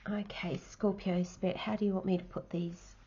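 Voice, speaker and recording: Woman, tarot reader, nasal, croaky, low mellow voice, soft half-whispers. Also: level tone, lo-fi sound, slight background noise. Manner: soft, unhurried, drops into whispers while laying cards. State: nasal and croaky from hay fever. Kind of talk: channelled tarot reading.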